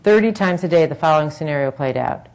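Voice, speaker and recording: A woman's voice: low-pitched, lively intonation, fast, darkish tone, a little hiss or hum. A woman in her forties reading a frank, earnest personal talk at a public forum, with wry asides.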